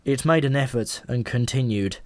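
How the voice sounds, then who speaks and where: A man's voice: medium pitch, moderate pace, no room sound. A man reading a comic fantasy story aloud as scripted audiobook narration. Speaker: audiobook reader.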